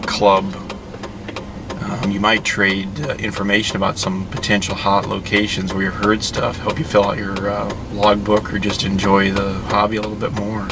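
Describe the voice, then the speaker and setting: A man with a fairly low voice rambles casually, like someone thinking aloud behind the wheel. His delivery is folksy, halting and unhurried. The mic sits some way from him, and loud vehicle rumble runs underneath everything. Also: monotone. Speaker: hobbyist video host. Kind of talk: casual rambling vlog chat while driving. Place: inside a moving car. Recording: distant mic, loud car and road noise.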